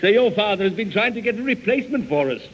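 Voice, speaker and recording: A man's voice: high-pitched, expressive, fast talker. A man playing an officious, impatient county police inspector in a British radio sitcom. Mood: polite, explaining. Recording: dull, hissy old radio recording.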